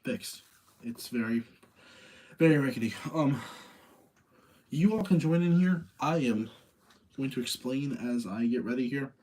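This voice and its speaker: A man's voice: medium pitch, animated, unhurried, long pauses, a little mellow, slightly rough. Casual, apologetic man hosting a livestream. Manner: apologetic, rambling. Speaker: livestream host.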